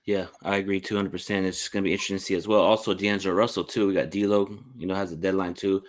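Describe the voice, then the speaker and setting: A man's voice: low voice, flat delivery, fast. A man hosting a sports podcast in casual chat, informal and agreeable with his guest.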